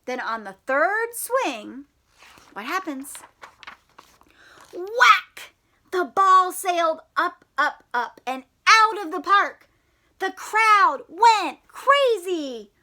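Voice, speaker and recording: A woman teacher reading a story aloud to children in an animated, encouraging way, often asking them questions. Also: extremely high pitch, extremely slow.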